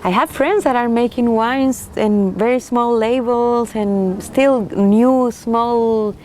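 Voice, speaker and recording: Young Chilean woman, slow and halting, laughs softly, faint room noise. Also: fairly high pitch. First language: Spanish. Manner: unhurried, halting, frequent soft laughs. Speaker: winemaker, interviewee. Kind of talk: oral history interview.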